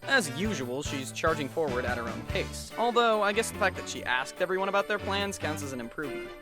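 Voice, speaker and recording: A woman voicing a sarcastic, long-suffering high school boy who comments dryly on events in an anime fan dub. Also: expressive, a little fast. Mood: wry, resigned. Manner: dry, sarcastic, exasperated. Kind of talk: anime fan dub acting and inner monologue. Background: loud music.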